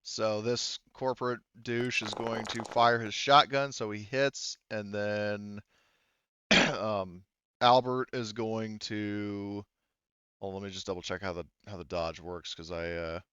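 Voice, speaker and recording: Man, game master, low smooth voice, casual narration, hesitant, slightly muffled dry recording. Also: fairly even intonation, slow pace, pushed and bright, dry recording. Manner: hesitant, thinking aloud.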